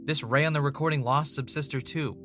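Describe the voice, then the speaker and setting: A man's voice: fairly high pitch, steady tone, brisk, natural voice. A man playing a former reality show contestant turned podcast host, haunted and defensive, in naturalistic audio drama dialogue. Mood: accusing, exasperated.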